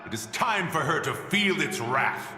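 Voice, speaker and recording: An actor voicing a haughty, eccentric ancient immortal in a video game, speaking in grand archaic phrases. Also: male voice, on the high side, lively intonation, bright, forceful tone, exaggerated voice. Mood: grandly agitated. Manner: archaic, pompous, deliberate. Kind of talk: game voice acting. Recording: reverberant hall effect, music behind.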